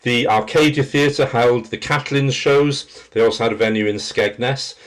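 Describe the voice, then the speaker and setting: A man's voice: medium pitch, moderate pace, a bit bright. A middle-aged man with a rich voice, reminiscing as a guest in an interview. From Wales. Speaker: interview guest, theatre practitioner and teacher.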